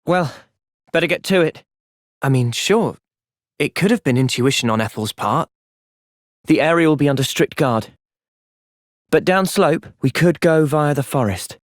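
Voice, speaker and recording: A man's voice: a little high, expressive, unhurried, pauses often, no room sound, studio quality. A young man with a British accent playing an earnest, thoughtful, polite and determined young hero in video game cutscenes.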